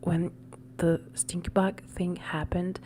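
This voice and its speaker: Soft-spoken woman, slow. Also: on the low side. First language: Italian. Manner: soft-spoken, drifting into whispers. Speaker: ASMR streamer. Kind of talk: soft-spoken live-stream chat. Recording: loud steady background noise.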